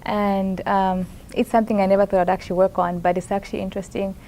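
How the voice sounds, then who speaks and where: Mellow-voiced young woman from Zambia. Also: mid-range voice, level tone, quick pace. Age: young adult. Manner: hesitant, many fillers and restarts, soft-spoken. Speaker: master's student in plant science. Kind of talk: conference interview, casual answers.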